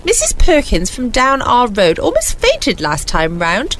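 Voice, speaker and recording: Radio comedy: actress as down-to-earth wife, confiding, grumbling, highish and very animated. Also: female voice, unhurried, full character voice, poor audio. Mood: fond exasperation. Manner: chatty, confiding, complaining. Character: down-to-earth working-class wife, fondly exasperated. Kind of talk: reading a comic letter in radio comedy.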